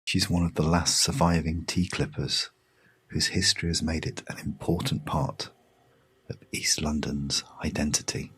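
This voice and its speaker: A British man narrating a guided sleep meditation story in a hushed, soothing, measured way with long pauses. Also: very deep voice, steady tone, breathy edge. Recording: music behind the voice. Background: music.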